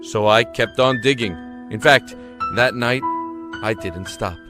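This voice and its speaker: An actor playing a stubborn, lovesick young prisoner in an audio drama, earnest and pleading, with a stammer at times. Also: male voice, medium pitch, a little slow, natural voice. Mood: grave, determined. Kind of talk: audio drama acting with reflective narration. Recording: roomy echo with music underneath.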